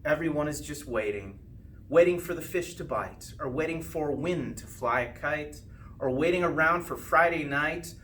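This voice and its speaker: A man reading a rhyming children's storybook aloud with expressive, sing-song phrasing and drawn-out line endings. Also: fairly high pitch. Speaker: school principal reading a storybook. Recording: distant mic with faint background noise.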